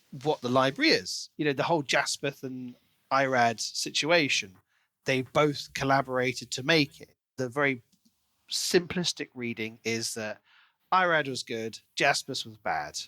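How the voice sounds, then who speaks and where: A man co-hosting a podcast, chatting casually and enthusiastically about a book in an expressive way. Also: medium pitch, unhurried, no echo. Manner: enthusiastic, expressive, acts out quoted lines. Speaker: podcast co-host.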